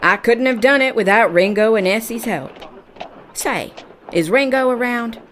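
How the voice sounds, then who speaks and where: A woman's voice: on the high side, expressive, normal speed, a bit bright. A woman playing a tough, plain-spoken, kind-hearted cowgirl bounty hunter with folksy Old West talk in a western audio drama for children. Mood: easygoing.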